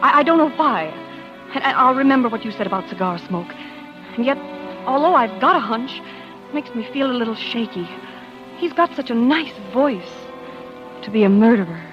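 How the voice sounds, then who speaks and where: Anxious coy young wife, played by a woman, high-pitched, halting, old-time radio thriller, music behind. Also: lively intonation, close to an everyday voice. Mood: nervous, confiding. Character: anxious devoted young wife playing coy amateur sleuth. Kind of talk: 1940s radio acting. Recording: muffled vintage radio recording with music.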